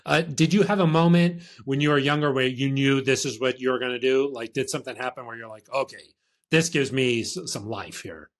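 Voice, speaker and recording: A man's voice: mid-range voice, brisk. A man hosting a sports podcast, asking questions in an easygoing way and agreeing often. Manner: easygoing, laughs along, many short agreements. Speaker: podcast host. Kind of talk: casual interview.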